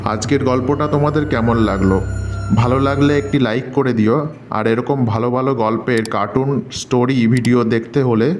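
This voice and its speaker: A man's voice: mid-range voice, moderate pace. A man narrating a scripted cartoon story as its storyteller. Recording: loud music bed under narration. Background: loud music.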